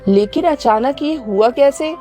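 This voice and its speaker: A woman voicing a proud female bird in a children's animated moral story, speaking in a dramatic, declamatory way. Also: high voice, a little slow, soft background music. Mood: pleading. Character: haughty, selfish female crow, later a remorseful heron.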